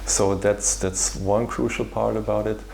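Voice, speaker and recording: A man with an Austrian accent and German as his first language, explaining his work in an interview in a halting, searching way. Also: fairly low pitch, little pitch movement, creaky, darkish tone, slight background noise, low-quality recording. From Vienna, Austria. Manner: hesitant, halting delivery with repeated words. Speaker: patient organization founder, interviewee. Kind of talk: interview answer, explanatory talk.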